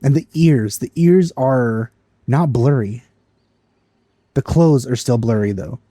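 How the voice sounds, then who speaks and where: A man casually commenting while playing a video game, relaxed and musing, quick to laugh. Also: mid-range voice, no echo, studio quality. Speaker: gaming video host. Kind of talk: casual let's-play commentary.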